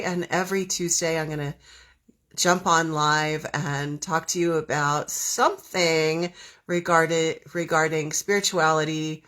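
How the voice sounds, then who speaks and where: A woman talks straight to her viewers in a relaxed live stream, her voice a little froggy from a cold. She speaks slowly and sits on the lower side of the range. She circles back over her questions with plenty of ums. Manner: unhurried, repeats questions, frequent ums. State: slightly hoarse from a cold. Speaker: host of a spiritual live stream. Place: home, southern Arizona.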